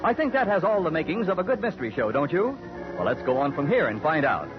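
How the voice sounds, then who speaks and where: An American man announcing a scripted 1940s radio show in a wry, measured manner. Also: on the high side, very animated. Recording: muffled vintage 1940s radio broadcast. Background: organ music.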